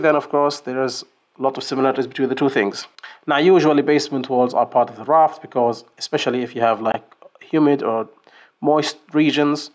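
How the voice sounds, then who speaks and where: A man teaching an engineering lesson over a screen-share drawing, explaining step by step with fillers and restarts. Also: on the high side. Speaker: engineering instructor. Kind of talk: whiteboard tutorial. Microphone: screen recording voice-over.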